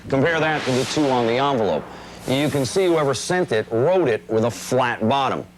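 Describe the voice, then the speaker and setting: A man's voice: mid-range voice, moderate pace, a little hiss or hum, rough recording. An American man in a TV news report, demonstrating evidence to viewers step by step.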